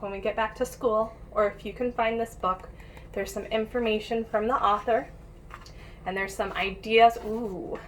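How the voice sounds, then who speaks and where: A woman's voice: mid-range voice, fairly bright tone, a little hiss or hum. A woman teacher reading a picture book aloud to young pupils, addressing them directly and encouragingly with questions and asides, on a home-made recording.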